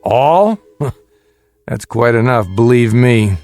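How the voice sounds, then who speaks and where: An actor in a radio drama playing a weary middle-aged father, gentle but guarded and measured in his delivery. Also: mid-range voice, animated, dry recording, soft background music. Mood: defensive. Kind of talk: naturalistic radio drama acting.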